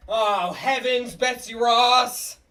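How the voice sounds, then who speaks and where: A woman voicing a gruff, excitable, boastful male puppet in a sitcom table read, with blustery exclamations. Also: a little high, takes it slowly, distant mic.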